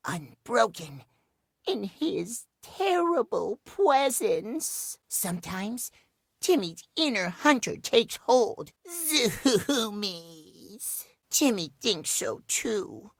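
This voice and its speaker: A man's voice: high-pitched, a bit bright, dry recording, clean studio sound, full character voice. A man voicing a cutesy, childlike little creature with a menacing streak in a video game, talking about himself in the third person. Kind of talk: video game character acting.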